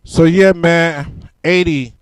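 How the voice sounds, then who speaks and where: Slow-talking, smooth-voiced podcast host. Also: male voice, on the high side, steady tone, dry recording. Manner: unhurried, friendly, laid-back. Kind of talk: casual interview.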